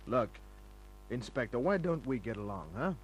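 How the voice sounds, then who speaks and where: Actor as wisecracking hard-boiled detective, hissy old radio. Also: male voice, mid-range voice, animated, normal speed, natural voice. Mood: casual, needling. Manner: laconic, breezy, sardonic. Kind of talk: old-time radio acting and first-person narration. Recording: noisy humming old radio recording.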